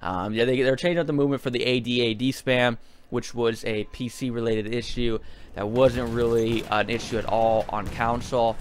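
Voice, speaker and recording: A man's voice: medium pitch, fairly even intonation, normal speed, clean tone. A man giving casual video game commentary in a rambling, hesitant way, often repeating himself.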